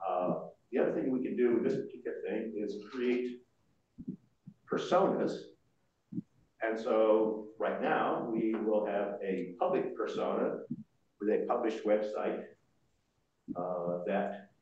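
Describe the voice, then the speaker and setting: An older man presenting informally at a board meeting, explaining things in a halting way. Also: lowish voice, darkish tone. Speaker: association board member presenting. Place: large indoor meeting room. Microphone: far room microphone. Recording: muffled, very echoey room, distant mic.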